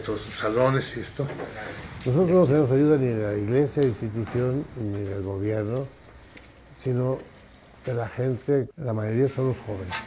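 An older man, a priest who runs a migrant shelter, speaking earnestly and in a measured way in a documentary interview. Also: medium pitch, poor audio. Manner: earnest, measured, pausing with fillers. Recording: guitar music underneath.

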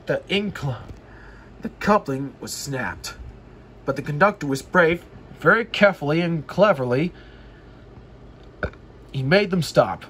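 A high-pitched man reads a storybook aloud at a slow pace. His delivery swings widely in pitch and is halting, with words repeated and corrected as he goes. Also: animated, a bit bright, faint music behind. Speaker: storybook reader. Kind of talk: read-aloud children's story narration.

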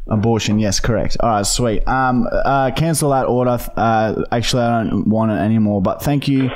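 A man in his thirties with an Australian accent, chatting casually and deadpan as a prank-calling podcast host on a close studio microphone. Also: mid-range voice, moderate pace, slight background noise. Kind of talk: casual, deadpan phone chat. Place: small podcast studio, indoors. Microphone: close boom-arm studio microphone with headset.

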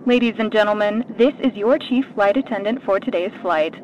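A woman's voice: mid-range voice, a little fast, natural voice. A woman playing a courteous chief flight attendant, giving a formal, practised cabin announcement in an audio drama. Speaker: voice actor in an audio roleplay. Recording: dull cabin speaker sound, faint noise. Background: faint airplane ambience.

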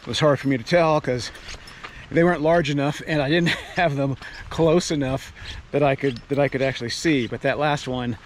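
A man narrating casually while hiking and fishing a mountain stream, thinking aloud with fillers and restarts. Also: mid-range voice. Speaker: fishing vlogger. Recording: outdoor recording with stream noise. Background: rushing stream water.

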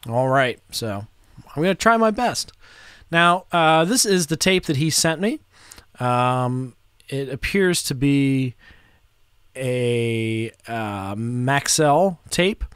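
A man in his thirties narrating a casual how-to in an unhurried, hesitant, step-by-step way, close to the microphone. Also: mid-range voice, a bit bright, smooth, no room sound. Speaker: audio restorer presenting a how-to. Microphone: close microphone in front of him.

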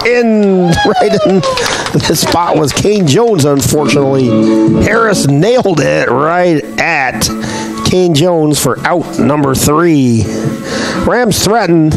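A hoarse, scratchy-voiced man calls a local ballgame pitch by pitch. He drifts into easygoing folksy asides between plays, and music sits faintly behind him. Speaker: play-by-play announcer. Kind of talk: local baseball play-by-play commentary.

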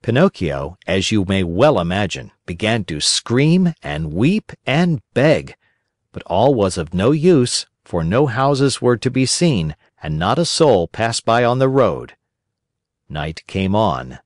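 A man's voice: lowish voice, sing-song, slow, no echo, studio quality. A man narrating a children's story as a scripted audiobook.

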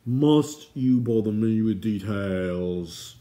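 An irritable little dwarf king from a fantasy storybook, acted by a male reader, blustering loudly and imperiously, with drawn-out, exasperated emphasis. He sounds permanently put upon. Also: on the low side, lightly acted.

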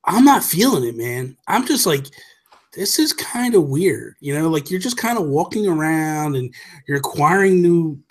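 Male podcast co-host, middle-aged, slightly high voice, colloquial and animated explainer, casual gaming chat. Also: normal speed. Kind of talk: casual chat about video games. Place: small room at home. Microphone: desk microphone on a video call, headphones.

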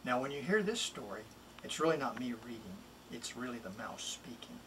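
A middle-aged man, a children's author, introducing and expressively reading a picture book in a deliberate, emphatic way. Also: medium pitch, big pitch swings. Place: small wood-panelled home office, indoors. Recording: distant mic, room noise.

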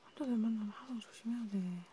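A woman voicing a cautious vampire healer in a hushed, intimate, concerned whisper for an ASMR role play. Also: on the high side, fast, a little hiss or hum, lightly acted. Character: cautious female vampire healer tending a hunted friend.

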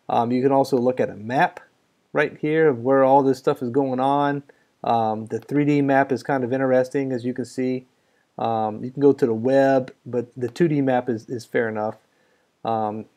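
A man's voice: mid-range voice, darkish tone. Easygoing American man, informal walkthrough. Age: middle-aged. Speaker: amateur radio hobbyist demonstrating software. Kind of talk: casual walkthrough narration.

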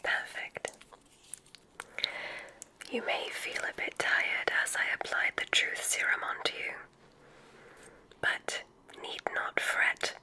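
A woman whispering softly and breathily in an ASMR roleplay as a gentle, soothing witch doctor, close to the microphone. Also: poor audio. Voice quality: breathy, mostly unvoiced whisper. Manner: soothing, gentle, unhurried reassurance. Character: kindly witch doctor giving a magic school exam.

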